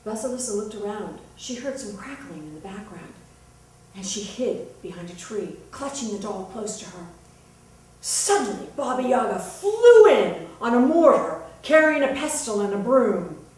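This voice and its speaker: A woman storyteller narrating a folktale dramatically, with suspenseful, deliberate phrasing. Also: medium pitch, sing-song, darkish tone, slight background noise. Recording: very echoey room, distant mic.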